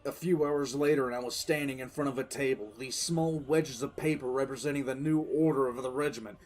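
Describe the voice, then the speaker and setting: A man playing a weary, resolute military commissar who recounts his war in deliberate, emphatic dramatic narration. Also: medium pitch, steady tone, darkish tone, lightly acted. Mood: measured recounting. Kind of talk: dramatic first-person audiodrama narration. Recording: music bed under the voice.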